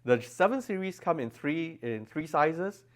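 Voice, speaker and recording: A man's voice: a little high, expressive, a little slow. A man presenting products as a designer at a trade show, explaining technical details with emphasis. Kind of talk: product demonstration in an interview. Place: exhibition room at an audio show.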